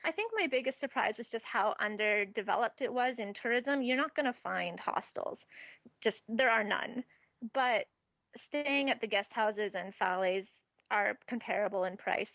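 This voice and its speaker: A woman's voice: a little high, moderate pace, no echo, lo-fi sound. A woman guest on a travel interview, chatting casually and laughing often as she gives practical advice.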